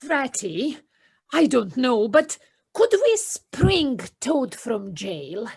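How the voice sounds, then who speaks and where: A woman's voice: fairly high pitch, sing-song, darkish tone, breathy edge, full character voice. A woman playing a timid, anxious little male mole in an amateur audio play, speaking hesitantly. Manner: hesitant, halting.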